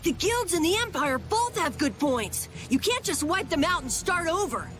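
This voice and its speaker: A woman voicing a sharp-tongued teenage girl genius, brusque and skeptical, in a video game cutscene. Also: very high voice, sing-song, normal speed, faint music behind, exaggerated voice. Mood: stern. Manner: brusque, matter-of-fact, skeptical.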